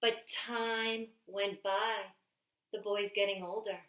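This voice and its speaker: A woman's voice: mid-range voice, flat delivery, slow, background noise. A woman reading a picture book aloud to children as a story time reader, adding chatty little asides. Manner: chatty asides to young listeners. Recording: roomy, echoey home-style recording.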